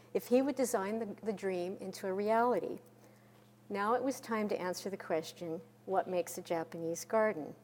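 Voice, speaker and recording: A soft-spoken woman giving a measured conference presentation from a podium. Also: mid-range voice. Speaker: conference speaker. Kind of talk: presentation talk.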